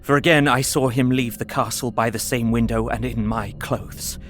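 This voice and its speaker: A man's voice: mid-range voice, steady tone, normal speed, fairly bright tone, faint music behind, natural voice. An actor playing a fearful, earnest young Englishman, narrating his journal in a dramatic audio drama. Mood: grim dread.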